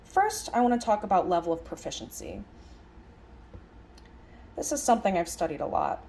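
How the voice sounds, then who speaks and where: A woman voicing a lecture as an interpreter, conversational, speaking in halting phrases with stress on key words. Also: medium pitch, big pitch swings, mellow, slight background noise. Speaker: sign language interpreter voicing a signed lecture.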